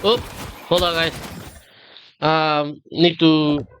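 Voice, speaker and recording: A man's voice: a little high, level tone, very slow, a bit bright, a little hiss or hum. A man livestreaming a game with casual chat and mumbled short reactions.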